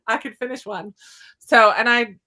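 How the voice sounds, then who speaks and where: A woman's voice: fairly high pitch, fairly even intonation, normal speed, a loud crowd, poor audio. A woman hosting a casual book discussion, talking in a chatty, informal way. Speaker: host of a book channel readalong.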